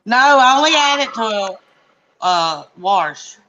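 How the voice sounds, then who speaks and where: A man's voice: sits high, lively intonation, takes it slowly, pushed and bright, muffled recording. A man with a rural Southern US accent, chatting in an easygoing, drawling country way. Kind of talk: casual chat.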